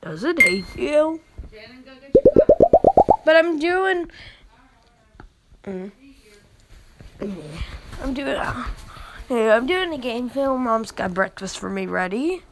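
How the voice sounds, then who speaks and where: A woman's voice: fairly high pitch, very animated, slow pace, pauses often, noisy background. A woman giving casual gameplay commentary, thinking aloud with exclamations and reading game text out. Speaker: gaming video host.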